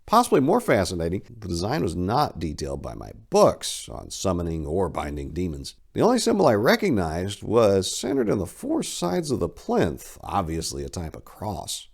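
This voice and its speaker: A man in his forties reads a horror tale aloud with theatrical flair, gesturing as he goes. His voice is very low and gravelly, and his pitch swings widely through the telling. It is recorded close on a lapel mic. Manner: expressive, theatrical storytelling with hand gestures. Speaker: reader. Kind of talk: dramatic reading of a horror story. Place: indoor study lined with bookshelves.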